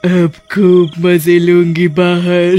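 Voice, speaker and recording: A man voicing a greedy, ill-tempered female witch in an animated horror story, husky and exclaiming. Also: high-pitched, faint music behind. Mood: gleeful, scheming. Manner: drawn-out, exclaiming, gloating. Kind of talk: Hindi animated horror-comedy story acting.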